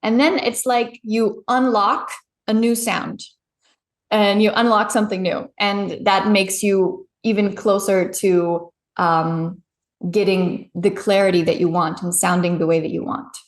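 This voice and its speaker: A woman's voice: mid-range voice, steady tone, measured pace. Chatty woman pronunciation coach, roomy sound. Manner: chatty, explanatory, laughs easily. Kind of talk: conversational interview. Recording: roomy echo.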